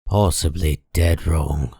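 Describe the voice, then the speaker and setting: A man reading dramatic comic-book narration in a grave, measured and deliberate manner. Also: rumbling low, steady tone, gravelly, warm tone, slightly rough, dry recording, clean studio sound.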